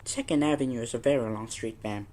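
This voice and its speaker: Male, actor as dismissive police sergeant in a radio thriller, dark relaxed tone, patronizing, faint noise behind. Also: medium pitch, natural voice. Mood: skeptical. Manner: patronizing, unhurried brush-off. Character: weary, dismissive, patronizing city police desk officer. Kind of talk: old-time radio suspense play acting.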